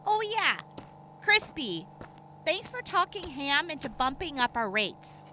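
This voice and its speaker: A woman's voice: high voice, sing-song, unhurried, bright, forceful tone, a little hiss or hum, exaggerated voice, rough recording. A woman playing a sassy, teasing mercenary in a post-apocalyptic audio drama.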